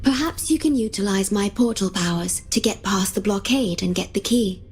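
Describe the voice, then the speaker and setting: A woman voicing a gentle, composed young female companion in a video game, soft-spoken, polite and measured. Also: medium pitch, lightly acted. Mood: calm, helpful. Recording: game music behind the voice.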